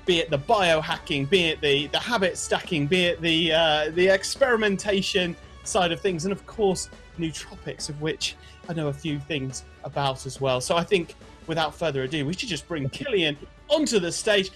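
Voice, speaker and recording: A man hosting a podcast, introducing the show and his guest with energy. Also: high-pitched. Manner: energetic, warm introductions with many restarts. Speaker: podcast host.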